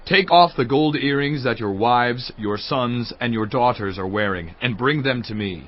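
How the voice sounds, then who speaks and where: A man's voice: mid-range voice, normal speed, lightly acted. Priestly leader acted by a man announcing to his people: mid voice, declaratory, dull audio. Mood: instructing. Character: Aaron, authoritative male priest addressing his people. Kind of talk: dramatized scripture reading. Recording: dull band-limited sound.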